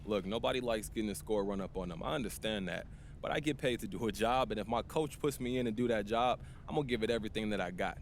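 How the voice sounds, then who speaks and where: A man's voice: on the low side, quick pace, a little hiss or hum, lightly acted. A young man playing a cocky rookie basketball star in a game's interview scenes, casual and self-assured. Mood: easygoing, confident. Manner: self-assured, laid-back. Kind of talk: casual press interview acting.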